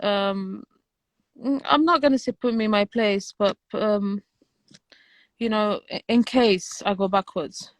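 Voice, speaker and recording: A woman whose first language is Romanian speaks in a soft, hesitant voice, often restarting her sentences. Her pitch sits in the middle for a woman. The sound is dry and close, with no room around her. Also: measured pace, no echo. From Algeria. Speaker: guest on a live stream. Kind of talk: casual livestream chat.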